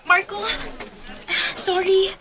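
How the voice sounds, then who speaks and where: A woman's voice: very high voice, little pitch movement, drawn-out words, sharp tone, full character voice. A woman playing a young girlfriend in a radio drama, bubbly and apologetic.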